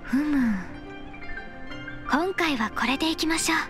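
High, gentle, dutiful young secretary. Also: female voice, over music. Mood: composed, confident. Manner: polite, measured, soft-spoken formality. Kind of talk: anime game voice acting.

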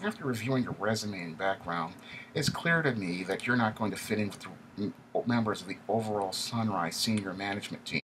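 Arrogant vice president for an audiobook, played by a man: nasal, twangy, pushed higher, pompous and contemptuous. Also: a little fast, a bit bright, a little hiss or hum, close to an everyday voice, poor audio. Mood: cold disdain. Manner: pompous, jargon-heavy, condescending. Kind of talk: audiobook character dialogue.

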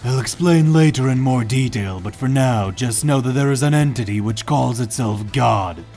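A man's voice: mid-range voice, normal speed, a little mellow, faint music behind, lightly acted. A man playing a stern, confident alien warrior with a blunt, commanding manner in a fan audio drama. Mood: serious.

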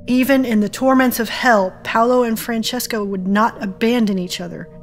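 A woman's voice: a little high, steady tone, moderate pace, natural voice. Woman playing a determined heroine over music. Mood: curious, intent. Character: determined, defiant woman breaking free of illusion. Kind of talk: audio drama acting.

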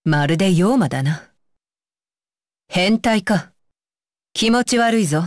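A woman's voice: medium pitch, animated, slow, no room sound, clean studio sound. A woman voicing a stern, dutiful young female ninja bodyguard for an anime game, with clipped, commanding and blunt phrasing. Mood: disgusted, stern. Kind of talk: Japanese anime game voice lines.

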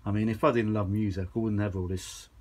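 A man's voice: fairly low pitch, fairly even intonation, fast. A man chatting casually and off the cuff, rambling along with informal enthusiasm as he shows his gear. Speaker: vintage audio enthusiast presenting his gear. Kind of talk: casual off-the-cuff chat, handheld demo. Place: carpeted room at home.

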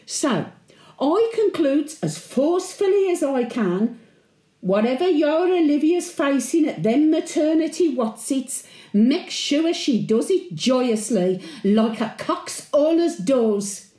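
Woman acting a high-voiced old Birmingham great-grandmother. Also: sing-song, dark tone, roomy sound, full character voice. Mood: firm, fond. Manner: homely, confiding, unhurried. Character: 101-year-old widowed Brummie great-grandmother, chatty, sentimental, plain-spoken. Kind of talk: dramatic monologue, intimate storytelling.

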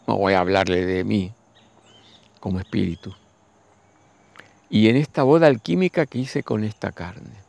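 A man, giving a slow, musing spiritual monologue and speaking straight to his listeners. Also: on the low side, creaky, a little mellow, no room sound. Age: 60s. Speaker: spiritual teacher.

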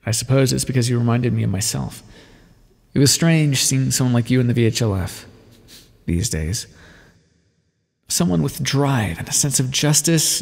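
A man playing an aloof, teasing vampire scientist in an ASMR roleplay, speaking wryly and formally with a gravelly voice. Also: mid-range voice, darkish tone, big-room sound. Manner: wry, condescending, formal wording with pet names. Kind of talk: ASMR roleplay, scripted intimate monologue to the listener.

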